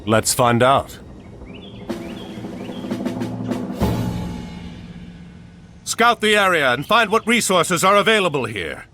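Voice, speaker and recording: An actor playing a bold, resolute middle-aged warrior hero in a video game, with a commanding delivery. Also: male voice, fairly high pitch, big pitch swings, normal speed, bright, forceful tone, a little hiss or hum. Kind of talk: scripted video game cutscene acting.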